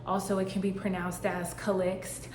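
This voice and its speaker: A woman's voice: mid-range voice, level tone, normal speed, background noise. A young woman from Boston, founder of a fashion brand, talking casually and playfully to the camera with plenty of fillers. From Boston, Massachusetts. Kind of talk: casual vlog talk to camera. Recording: echoey room with faint ambience.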